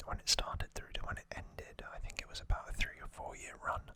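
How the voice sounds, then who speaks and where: Hushed ASMR whisperer. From United Kingdom. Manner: hushed, unhurried, informal. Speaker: ASMR video host. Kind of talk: whispered ASMR, relaxed show-and-tell commentary.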